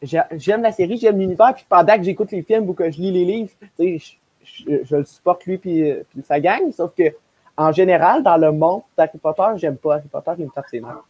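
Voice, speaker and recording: A man's voice: high-pitched, a little fast, darkish tone, slightly rough, no echo, poor audio. A young man with a Quebec French accent chatting effusively in a group video call, repeating himself for emphasis. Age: young adult. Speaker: podcast panelist. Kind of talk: casual group discussion. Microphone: wired earbuds on a video call.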